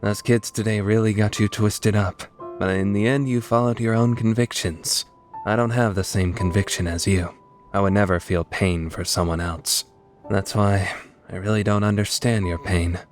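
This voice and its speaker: A man playing an aloof, awkward young man with hidden kindness in a dubbed visual novel, offhand and awkwardly gentle. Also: fairly low pitch, steady tone, normal speed, faint music behind, close to an everyday voice. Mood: tender, frustrated.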